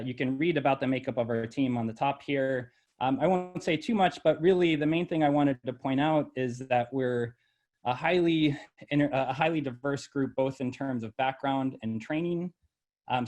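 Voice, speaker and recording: A middle-aged man who was born in El Salvador and raised in Ohio, presenting his university lab's research from slides in a measured way, with frequent ums and uhs. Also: medium pitch, darkish tone.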